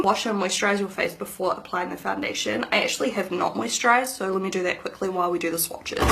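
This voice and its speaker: A woman's voice: mid-range voice, gravelly, fairly bright tone. A woman in her thirties, a beauty vlogger chatting casually to camera in an upbeat, rambling way, slightly nasal from hay fever. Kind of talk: casual makeup review to camera.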